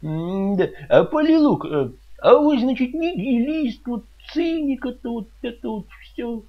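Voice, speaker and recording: A man's voice: very high-pitched, lively intonation, a little slow, muffled recording, exaggerated voice. A man playing a fussy, pompous, flustered radio host who stammers and hesitates in a comedy sketch.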